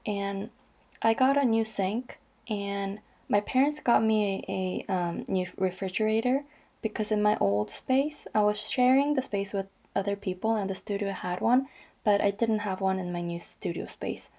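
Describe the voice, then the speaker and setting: A woman narrating her cross-stitch vlog in a chatty, rambling way, her voice a little raspy and stuffy from allergies. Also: medium pitch, normal speed, warm tone. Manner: chatty, rambling, frequent ums. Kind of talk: casual voice-over vlog narration.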